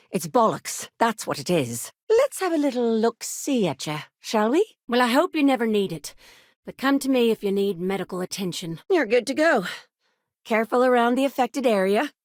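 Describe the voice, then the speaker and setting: A weary woman doctor from a mining-colony clinic in a sci-fi video game. Her voice sits a little high, with a British or Irish accent and wry, colloquial delivery. The recording is close and dry, with no room around it. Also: big pitch swings, dry recording. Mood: exasperated. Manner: wry, brisk, colloquial bedside patter. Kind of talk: scripted game dialogue.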